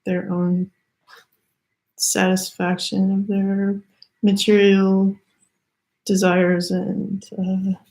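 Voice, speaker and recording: A woman with a Canadian accent giving a halting, thoughtful spiritual card reading, full of fillers and restarts. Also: mid-range voice, monotone, gravelly, low-quality recording. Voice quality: soft-spoken, hesitant, many fillers and restarts. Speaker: tarot reader.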